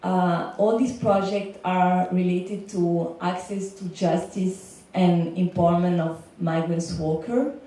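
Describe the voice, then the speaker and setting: A woman's voice: fairly low pitch, slow, hardly any pauses, dark tone. Belgian woman, 30s, panelist with fillers; roomy, distant hall sound. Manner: speaks with fillers and small restarts. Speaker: panelist, legal consultant. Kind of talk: panel talk. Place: large lecture hall. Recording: echoey lecture hall, far from mic.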